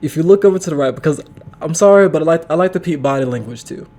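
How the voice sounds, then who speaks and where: A man's voice: fairly high pitch, lively intonation, fast talker, mellow. A man hosting a reaction video, talking casually and repeating himself, with lots of fillers.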